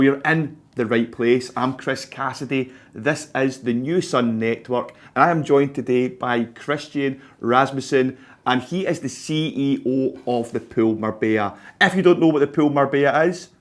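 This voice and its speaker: Male host, upbeat presenter tone, talks to camera, mid pitch, indoor office. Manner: upbeat presenter delivery, direct address to viewers. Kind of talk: interview introduction and questions.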